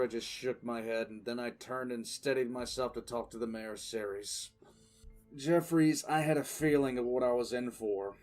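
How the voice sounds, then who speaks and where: A man playing a weary, cool-headed military commissar, recounting his past in dramatic, deliberate first-person narration over faint music. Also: mid-range voice, a little mellow, natural voice. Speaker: narrator and lead voice actor. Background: faint background music.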